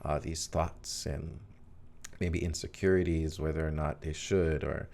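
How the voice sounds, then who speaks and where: Gravelly, low-voiced man. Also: level tone, darkish tone. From Hawaii. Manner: reflective, pauses with fillers, laughs easily. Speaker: guest musician. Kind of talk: casual interview conversation.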